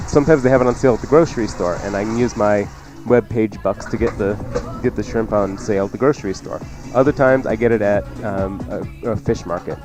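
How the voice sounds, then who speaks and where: A man's voice: on the low side, fast talker, music in the background. A man hosting a cooking show, chatting through recipe instructions off the cuff with joking asides. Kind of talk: casual unscripted radio cooking instruction.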